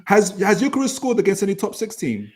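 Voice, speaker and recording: A young man on a video call, arguing football fast and hard, repeating himself to press his point home. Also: high voice, very animated, a little mellow, breathy edge. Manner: rapid, argumentative, repeats points to press them. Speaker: football podcast panelist. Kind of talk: heated casual debate.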